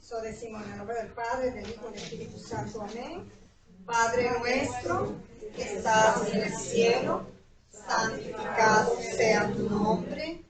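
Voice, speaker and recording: A woman's voice: a little high, normal speed. A woman hosting a community event, addressing a gathered audience in an echoey indoor hall. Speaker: event host at a cultural institute. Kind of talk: welcoming an audience, bilingual prayer and remarks. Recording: echoey room, distant mic, loud crowd.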